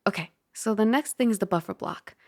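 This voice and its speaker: A woman voicing a caring young dragon roommate in a soft ASMR roleplay, gently bossy and teasing as she gives close-mic instructions. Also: mid-range voice, a little fast, creaky, no room sound, lightly acted. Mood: gently instructive. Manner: gently bossy, teasing, puzzled by human ways. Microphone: close ASMR microphone.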